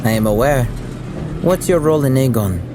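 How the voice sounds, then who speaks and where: A man's voice: medium pitch, animated, normal speed, background noise, lightly acted. An actor playing a wary, laconic male operative with understated delivery in an audio drama. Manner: terse, understated.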